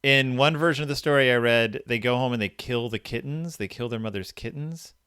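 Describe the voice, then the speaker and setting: A man co-hosting a podcast and casually discussing a story, with halting phrasing and repeated words. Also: mid-range voice, bright, forceful tone, clear, resonant tone, dry recording, clean studio sound. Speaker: podcast host.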